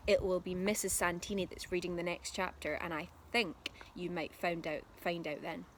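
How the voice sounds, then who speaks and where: A woman's voice: lowish voice, flat delivery, normal speed, a little hiss or hum. A woman reading a children's story aloud expressively, like a primary school teacher.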